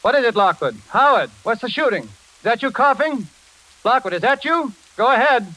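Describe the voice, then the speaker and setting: A brisk, slangy engineer in a vintage 1940s radio play. The voice sits high and is bright and forceful. Faint crackle runs through the old recording. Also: male voice, big pitch swings, heavily acted. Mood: worried. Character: brisk, slangy male engineer, concerned for his friend.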